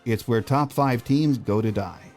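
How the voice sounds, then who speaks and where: A man's voice: medium pitch, a little slow, a little mellow, faint music behind. An American man hosting a sports podcast, delivering commentary and interview questions. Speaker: podcast host.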